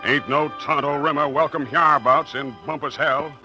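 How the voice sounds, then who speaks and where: A man's voice: on the high side, fairly even intonation, moderate pace, music in the background, pitched above the performer's own voice, close to an everyday voice. A man voicing a hostile, snarling Old West sheriff with an emphatic American Western drawl, in an old radio drama.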